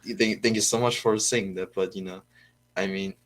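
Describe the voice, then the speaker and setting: Hesitant teenage Korean boy, low voice. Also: fairly even intonation, moderate pace, a bit bright. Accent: American-leaning Korean English. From South Korea. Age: teens. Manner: hesitant, many fillers and restarts. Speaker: student. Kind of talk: casual conversation practice.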